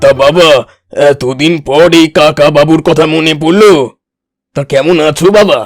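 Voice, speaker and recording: A man's voice: fairly high pitch, normal speed, dry recording, studio quality, soft background music, lightly acted. A man voicing a proud village landlord uncle in a cartoon, with an emphatic, theatrical way of talking. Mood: genial. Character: proud village landlord uncle, genial but quick to outrage. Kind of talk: exaggerated cartoon dialogue.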